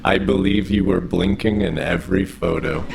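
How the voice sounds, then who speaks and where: Audio drama robot, male actor: earnest, stilted and courteous, low crackling voice, noisy recording. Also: steady tone, normal speed, creaky. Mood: friendly, literal. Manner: formal, stilted, unfailingly polite phrasing. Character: overly friendly, earnest, literal-minded male robot. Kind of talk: scripted audio drama acting.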